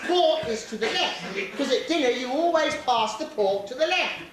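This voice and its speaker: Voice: high-pitched, expressive, normal speed, crowd noise. A performer playing a silly, bickering castaway in a stage pantomime, teasing and argumentative. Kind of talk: broad stage pantomime acting. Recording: echoey stage hall, distant mic, faint noise.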